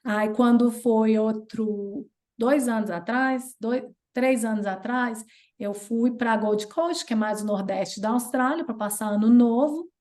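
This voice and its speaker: Chatty Brazilian woman, bright higher voice. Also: measured pace. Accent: Brazilian Portuguese. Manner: chatty and animated, playful jumps in pitch when retelling. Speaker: interview guest. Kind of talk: casual storytelling chat.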